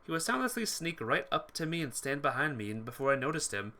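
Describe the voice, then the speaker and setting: A man's voice: on the high side, sing-song, fast talker, sharp tone. A man reading visual novel text aloud as dramatised narration, sometimes stumbling over words and rereading them. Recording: music behind the voice. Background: game music.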